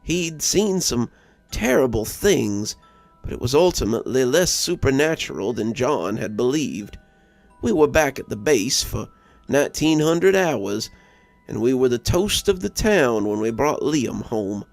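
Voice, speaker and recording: A man narrates a scary story with a very animated delivery and a gravelly edge to his voice. The recording is dry and close, with clear music playing underneath. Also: medium pitch, a little slow, no echo. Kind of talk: dramatic scripted narration.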